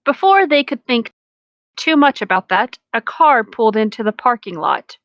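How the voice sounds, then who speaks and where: A woman's voice: a little high, lively intonation, normal speed, a bit bright, no room sound, highs cut off. A woman co-hosting a comedic reading, narrating a story aloud with casual, sardonic asides. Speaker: co-host of a comedic fan-fiction reading.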